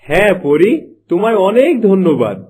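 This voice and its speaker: A man's voice: high-pitched, sing-song, normal speed, a little mellow, slightly rough, full character voice. A man playing an earnest, devoted fisherman husband in a Bengali fairy-tale cartoon. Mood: relieved. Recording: faint music behind the voice.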